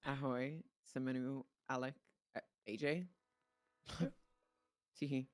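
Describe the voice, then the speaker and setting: A man's voice: medium pitch, flat delivery, measured pace, no room sound. A man from the Czech Republic, a native Czech speaker, bantering informally and animatedly in game roleplay, swearing freely. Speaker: streamer playing a dispatcher in online roleplay.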